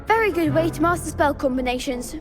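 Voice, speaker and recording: High-voiced, confident schoolgirl. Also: lively intonation, fairly quick, over music. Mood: eager, confident. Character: bright, confident schoolgirl dueling club organiser. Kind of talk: scripted video game acting.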